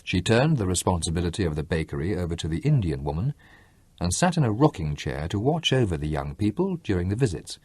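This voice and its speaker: A man's voice: low-pitched, very animated, brisk, darkish tone, husky, no echo. A man narrating a literary story from a script as an audiobook reader.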